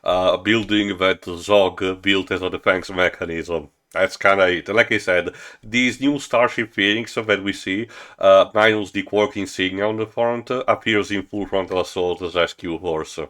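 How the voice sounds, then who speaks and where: Low-voiced man narrating casually. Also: steady tone, normal speed, few pauses, fairly bright tone. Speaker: co-host of a comic read-along.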